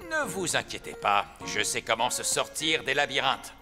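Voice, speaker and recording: A man voicing a self-important, excitable grown elf in a French children's cartoon, with pompous, emphatic delivery. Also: mid-range voice, moderate pace, lightly acted. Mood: confident. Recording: music behind the voice.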